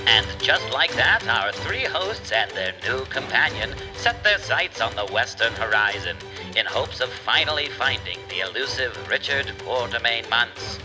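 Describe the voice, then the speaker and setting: Animated male narrator over music. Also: medium pitch. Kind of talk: dramatic scripted narration.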